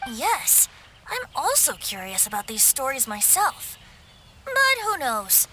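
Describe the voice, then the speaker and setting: A woman's voice: a little high, huge pitch swings, slow, full character voice. A woman voicing a boyish young male bard in a video game, breezy, vain and theatrical, with lilting, flowery phrasing. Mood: musing. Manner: lilting, flowery, self-satisfied. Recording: music behind the voice.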